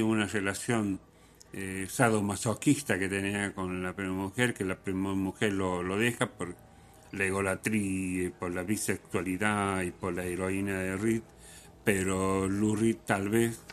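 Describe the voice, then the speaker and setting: A male radio host speaks in a low voice at a very unhurried pace. He muses off the cuff about music, pausing often and circling back over his words for emphasis. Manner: musing, halting, repeats words for emphasis. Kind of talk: unscripted music radio commentary.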